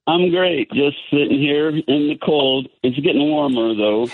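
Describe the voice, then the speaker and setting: A man's voice: fairly high pitch, unhurried, gravelly. An older man calling in to a radio show, chatting in a friendly, advising, rambling way. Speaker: caller. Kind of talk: phone-in chat with host. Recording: tinny phone line.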